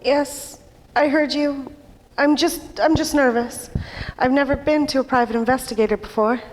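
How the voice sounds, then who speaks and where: Noir parody nightclub singer, female actor: high voice, jittery, pleading, faltering helpless-dame delivery. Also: fairly even intonation, normal speed, poor audio. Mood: anxious pleading. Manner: hesitant, imploring. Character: nervous, pleading female nightclub singer, a femme fatale client. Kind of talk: 1940s radio noir parody acting.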